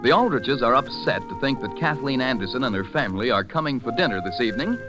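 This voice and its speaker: A man's voice: mid-range voice, animated, fast, music in the background. A man announcing in a polished, emphatic 1940s radio style, reading scripted sponsor copy and introductions on a vintage broadcast.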